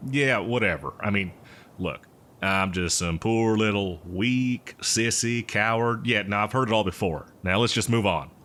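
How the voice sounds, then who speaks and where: A man's voice: fairly low pitch, fairly bright tone, a little hiss or hum. A man playing an eccentric, cheerful botanist who survived from before the apocalypse, rambling hesitantly in a folksy roleplay monologue. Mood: brisk, dismissive. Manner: hesitant, rambling, folksy, with chuckles and drawn-out words. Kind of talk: roleplay audio drama monologue addressed to listener.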